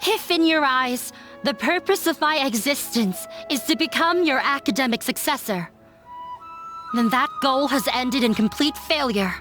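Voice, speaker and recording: An actress playing an earnest runaway daughter standing up to her father in a game dub, with formal, measured delivery. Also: high voice, lively intonation, a bit bright. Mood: pained resolve. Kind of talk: dramatic game dialogue. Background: background music.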